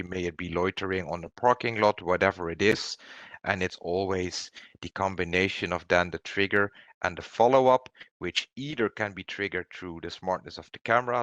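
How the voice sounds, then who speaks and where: A man giving a webinar product presentation, explaining things in a halting way. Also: deep voice, a bit bright, no room sound.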